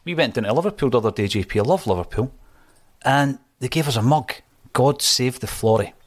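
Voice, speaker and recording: A man's voice: mid-range voice, lively intonation, a little fast. A man hosting a football podcast, speaking in an emphatic, opinionated way. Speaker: podcast host. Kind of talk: casual chat.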